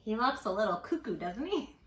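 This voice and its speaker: A woman reading a story aloud to young children in an animated, affectionate, coaxing manner, speaking straight to the listeners. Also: a little high, brisk, reverberant, a little hiss or hum. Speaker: children's story reader, tutor.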